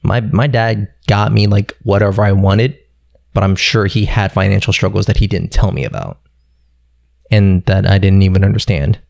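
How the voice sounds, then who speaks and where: A young man from Philadelphia, telling stories about growing up in a relaxed, rambling way with casual swearing. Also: low-pitched, moderate pace, gravelly, no room sound. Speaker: guest. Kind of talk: casual conversation.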